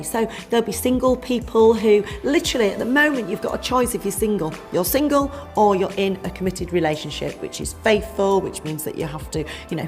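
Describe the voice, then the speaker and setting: A woman speaking as a relationship coach, explaining informally and addressing the listener directly in an emphatic, conversational way. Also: mid-range voice. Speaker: relationship coach promoting a seminar. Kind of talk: informal explanatory promotional talk.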